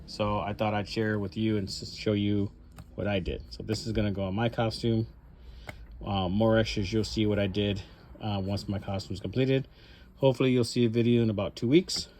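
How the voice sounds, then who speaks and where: A man's voice: lowish voice, steady tone, normal speed, a little mellow, slight background noise. A man presenting his cosplay prop modification in a casual show-and-tell style, rambling through it step by step. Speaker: hobbyist presenter showing a cosplay prop mod.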